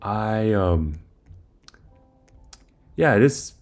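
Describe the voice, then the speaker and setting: A man's voice: lowish voice, lively intonation, drawn-out words. A young man giving a casual, informal game walkthrough, rambling a little as he talks through each step while playing. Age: young adult. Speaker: video maker giving game guide.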